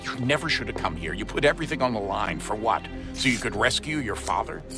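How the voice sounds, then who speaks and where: Stern sci-fi game character, deep voice, lively inflection, music underneath. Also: male voice, quick pace. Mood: reproachful. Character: stern, reproachful adult man in a sci-fi thriller. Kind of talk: dramatic video game acting.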